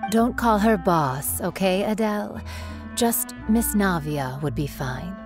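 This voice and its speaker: Polite mother, game character, mid-pitched voice, gentle, grateful, music behind. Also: animated, slow. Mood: gently chiding. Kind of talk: video game voice acting.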